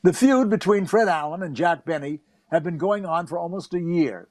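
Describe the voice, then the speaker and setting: A man's voice: fairly high pitch, normal speed, a little mellow, dry recording, clean studio sound. A man reading scripted first-person narration as a storyteller.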